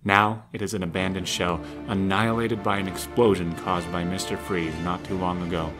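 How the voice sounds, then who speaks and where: A man's voice: sits low, level tone, normal speed, over music. A man reading scripted narration as the narrator of an audio drama.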